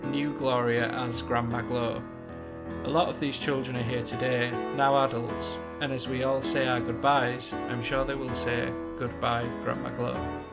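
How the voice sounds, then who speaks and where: Man, eulogy narration, steady voice, loud guitar music behind. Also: mid-range voice, measured pace. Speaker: grandson giving a eulogy.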